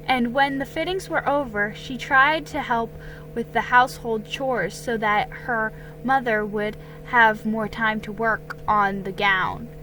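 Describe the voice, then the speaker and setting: Slow, very bright woman reading a story. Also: a little high, slight background noise, low-quality recording. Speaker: story reader. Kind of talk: dramatic narration of a children's storybook.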